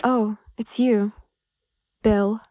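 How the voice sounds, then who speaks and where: A woman's voice: medium pitch, fairly even intonation, extremely slow, no room sound. A woman voicing a cheeky, nosy young girl who needles and teases, in a muffled lo-fi horror audio drama. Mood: teasing, mocking. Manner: teasing, needling.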